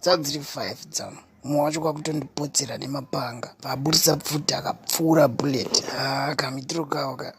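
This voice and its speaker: A man voicing a cocky, defensive young man in a comedy cartoon, excitable and protesting, with a Zimbabwean accent. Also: medium pitch, fairly quick, creaky, a little hiss or hum. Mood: defensive storytelling.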